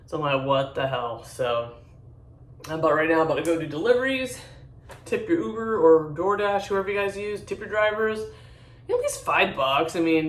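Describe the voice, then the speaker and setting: A deep-voiced woman in her forties chatting casually to her viewers, rambling, blunt and candid. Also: a bit bright, recorded from a distance. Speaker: vlogger. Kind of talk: casual chat to camera. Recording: echoey room, voice a bit off-mic.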